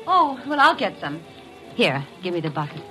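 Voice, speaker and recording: Lively, charming, coy hostess wife. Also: female voice, fairly low pitch, moderate pace, faint music behind, poor audio. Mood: bright hostess cheer. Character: nervous, charming scheming society wife and hostess. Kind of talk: old-time radio drama acting.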